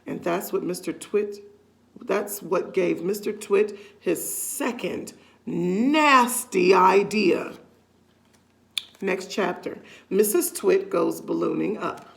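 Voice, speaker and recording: Creaky-voiced woman reading a children's story aloud. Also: fairly low pitch, slow. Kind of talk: expressive children's story reading with casual asides.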